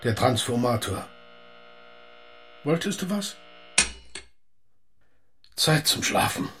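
A man's voice: mid-range voice, very animated, slow, over music. An actor playing a terse, stern father in a radio drama, asking clipped questions. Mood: curt. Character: terse, stern working-class father questioning his son.

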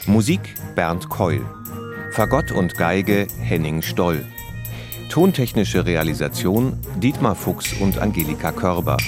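A man's voice: low-pitched, big pitch swings, takes it slowly. A man reading credits as a formal, scripted radio announcement. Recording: music behind the announcement.

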